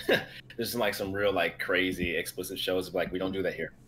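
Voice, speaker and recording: A man's voice: low-pitched, steady tone, moderate pace, a bit bright. A young man co-hosting a livestream in casual chat. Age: young adult. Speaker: livestream co-host. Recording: background noise, livestream audio.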